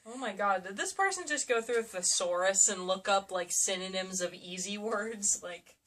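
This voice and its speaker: A woman's voice: mid-range voice, moderate pace, a bit bright. Woman co-host joking around, teasing and repeating lines back wryly, medium voice, noisy amateur audio. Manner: teasing, wry echoing of phrases. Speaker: co-host commenting on a novel reading. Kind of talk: casual comedic banter. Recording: clearly noisy amateur room recording.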